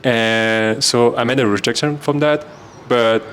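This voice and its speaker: A man's voice: medium pitch, steady tone, measured pace, a bit bright, slight background noise. A man hosting a podcast, asking friends questions over drinks in a loose, searching way with many fillers. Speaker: podcast host. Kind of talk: casual conversation, asking questions.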